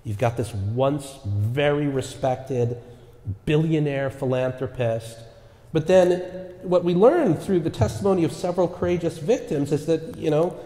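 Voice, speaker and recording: Man, pastor, earnest sermon, mellow warm tone, long reverb, church echo. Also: fairly high pitch. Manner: earnest preaching with repeated rhetorical "right?" asides. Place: church sanctuary.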